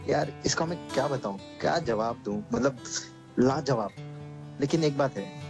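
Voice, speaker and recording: A playful young blind man teases his sweetheart, played by a man in a radio play. His voice sits at an ordinary middle pitch and moves between banter and sincerity. Guitar music plays clearly beneath the dialogue. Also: natural voice. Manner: joking banter, turning earnest. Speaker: lead actor in a radio play. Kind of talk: amateur radio play acting.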